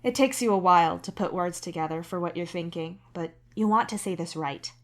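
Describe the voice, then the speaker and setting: Slightly low-voiced woman narrating fiction. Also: fairly quick. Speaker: podfic narrator and author. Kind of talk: scripted second-person story narration.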